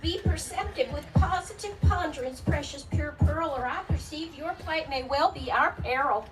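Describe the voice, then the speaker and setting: A woman's voice: high voice, normal speed, over loud music, lightly acted. A woman acting a protective widowed farm mother in radio melodrama, formal and theatrical. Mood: wary warning. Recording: distant stage microphone with room noise.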